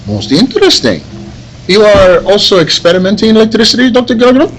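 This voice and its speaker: A man's voice: high-pitched, sing-song, fairly quick, a little hiss or hum, rough recording. A man playing a scheming, megalomaniac villain posing as a professor, melodramatic in 1940s radio serial style at a live table read. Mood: feigned curiosity. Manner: melodramatic, exaggerated.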